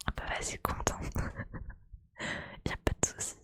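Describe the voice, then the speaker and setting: A woman's voice: normal speed, a bit bright. A woman whispers breathily and playfully, chatting casually as an ASMR streamer close to the microphone.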